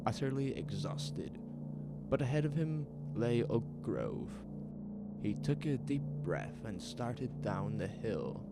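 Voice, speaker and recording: A man narrating a horror story in a measured, dramatic, suspenseful way, in short phrases. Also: on the low side, creaky.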